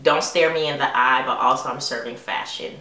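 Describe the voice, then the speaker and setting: Chatty low-voiced woman vlogger, roomy. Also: a little fast, sharp tone, far from the mic. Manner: chatty, playful, rambling, addresses viewers as honey and y'all. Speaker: beauty vlogger. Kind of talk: casual haul vlog talking to camera. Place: bedroom vanity with lighted mirror, indoors.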